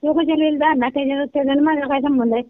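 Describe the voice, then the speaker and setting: A woman's voice: high voice, steady tone, very fast, dry recording. An older woman with a rural Kolhapur Marathi accent chatting casually on the phone in a blunt, earthy, colloquial way. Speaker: prank call recipient, video creator. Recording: narrow, tinny phone line.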